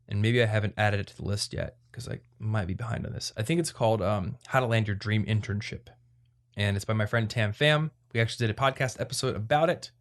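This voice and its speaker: Low-pitched man chatting on a podcast. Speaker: podcast host. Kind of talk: casual advice podcast chat.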